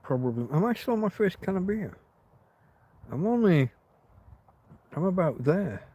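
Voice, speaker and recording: A man's voice: high voice, very animated, normal speed, dark tone, breathy edge. A man hosting a rambling live stream, chatting loosely to his viewers and restarting sentences, with a slight cough. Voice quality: slight cough, throat tickle. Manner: meandering, restarts often, chats to viewers. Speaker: livestream host.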